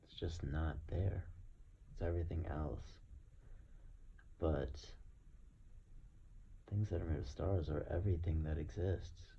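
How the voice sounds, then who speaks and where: A man with a very low, gravelly voice speaks slowly and deliberately in a reflective monologue. He leaves long pauses and often sinks into a breathy murmur. The recording is dull, with faint noise underneath. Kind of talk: slow reflective philosophical monologue.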